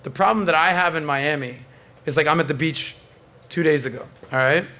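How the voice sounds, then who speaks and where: A man's voice: fairly high pitch, fairly quick, sharp tone. A man hosting a podcast in casual, opinionated panel chat, bantering and swearing freely. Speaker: podcast host.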